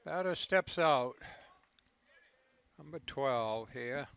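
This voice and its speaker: A man calling a local baseball game as play-by-play commentator, relaxed and conversational, with a voice that is starting to go. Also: extremely slow, creaky, smooth. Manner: low-key, conversational calls. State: losing his voice.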